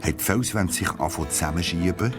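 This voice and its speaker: Deep guy narrating a kids story, guitar music loud underneath. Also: fast talker.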